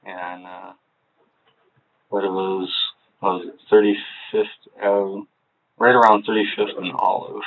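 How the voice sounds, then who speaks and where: A man's voice: little pitch movement, slow, pauses often. A man in his forties who grew up in Hawaii, answering questions hesitantly with many fillers in a police interview room. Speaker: interrogation subject. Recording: tinny low-fidelity room recording.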